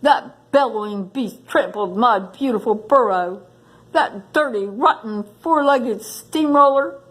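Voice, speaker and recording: A woman's voice: a little high, takes it slowly, low-quality recording. A woman voicing an indignant storybook porcupine, sputtering and scolding. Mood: furious. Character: furious, indignant porcupine with a trampled burrow.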